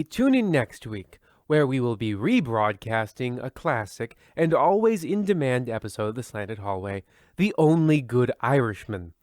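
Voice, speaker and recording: A man hosting a comedy audio show with scripted, wry, deadpan narration. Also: mid-range voice, no echo, clean studio sound. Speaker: host and writer of the show. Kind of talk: scripted deadpan comic outro.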